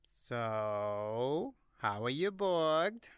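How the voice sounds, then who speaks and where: A man's voice: mid-range voice, very animated, extremely slow, noisy background. A man playing a casual, nosy, slightly dim gang enforcer who rambles in an audio drama. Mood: curious, awkward. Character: laid-back, nosy, dim-witted gangland enforcer.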